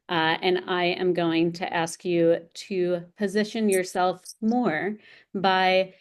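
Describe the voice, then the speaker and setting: A woman moderating a panel discussion over a video call in a measured, organized way. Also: lowish voice, a little mellow.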